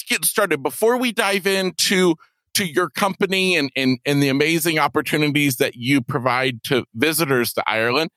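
A man's voice: high voice, bright, forceful tone, no room sound, low-quality recording. A man hosting a podcast, eager and gushing as he welcomes and questions his guest. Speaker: podcast host. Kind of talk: enthusiastic interview hosting. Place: Florida.

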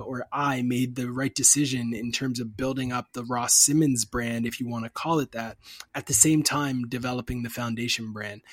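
A man's voice: medium pitch, no room sound. Chatty man in his thirties, dry sound. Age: 30s. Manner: relaxed, chatty, many fillers and restarts. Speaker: guest, marketing agency founder. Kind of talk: casual interview conversation.

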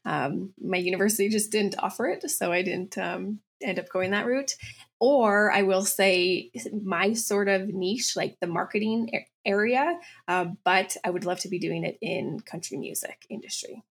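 Young canadian woman, kinda husky voice, relaxed chatty guest on a podcast. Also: mid-range voice, moderate pace. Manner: relaxed, chatty, lots of fillers. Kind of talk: casual interview chat.

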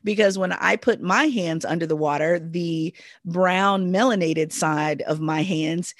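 A woman's voice: fairly low pitch, a little slow, no room sound, clean studio sound. A woman co-hosting a casual conversation, thoughtful and questioning, talking things through. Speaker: podcast co-host.

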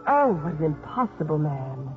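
A woman's voice: low voice, big pitch swings, normal speed, soft background music. A prim young female official in an old radio play. She speaks with formal, precise disapproval, and the vintage recording is dull and muffled. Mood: exasperated narrating. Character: prim, indignant young woman child-welfare investigator. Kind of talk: 1950s radio drama acting and narration.

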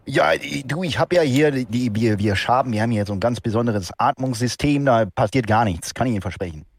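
A man voicing a bumbling cockroach guard, halting and repetitive, with an odd put-on accent as he bluffs earnestly. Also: mid-range voice, no room sound, clean studio sound, close to an everyday voice. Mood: flustered, bluffing.

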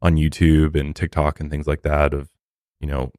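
An extremely deep male voice, captured very dry without room echo. He speaks as a podcast co-host, offering casual, conversational commentary. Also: completely flat delivery, moderate pace, darkish tone.

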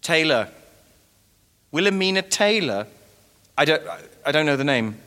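A pompous failed writer, echoey hall. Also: male voice, fairly high pitch, big pitch swings, normal speed, pushed and bright. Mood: flustered, wounded. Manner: pompous, stammering when flustered. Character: vain self-pitying failed writer from the past. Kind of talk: live audio comedy acting. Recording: echoey hall, live stage.

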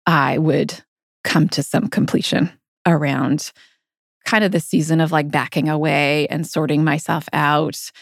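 A woman with a slightly low, gravelly voice co-hosts a podcast. She talks casually and reflectively, telling her stories earnestly. The sound is very dry, with no room on it. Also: fairly even intonation, unhurried, no echo. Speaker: podcast co-host.

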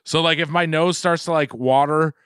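A man's voice: on the high side, steady tone, normal speed, fairly bright tone, smooth, no room sound, studio quality. A man co-hosting a comedy food podcast, rambling with many restarts and lots of swearing. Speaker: podcast co-host. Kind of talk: casual comedic chat.

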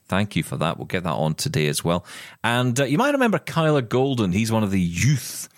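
Chatty Scottish man with lively pitch. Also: brisk, dry recording, studio quality. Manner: chatty, joking, many fillers. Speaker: podcast co-host. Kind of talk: casual tech podcast chat.

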